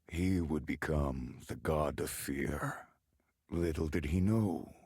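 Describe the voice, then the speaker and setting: An actor playing a solemn, fatalistic prophet of a god of fear in a video game, speaking in a brooding, philosophical way. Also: male voice, deep voice. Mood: ominous, brooding. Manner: slow, brooding, philosophical delivery. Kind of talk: dramatic video game voice acting. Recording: long cavernous reverb.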